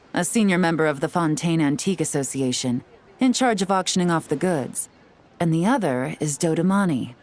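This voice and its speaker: Low-voiced, shrewd secret agent. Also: female voice, darkish tone. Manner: measured, knowing, self-assured. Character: shrewd, composed woman intelligence agent, confident and calculating. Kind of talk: video game voice acting.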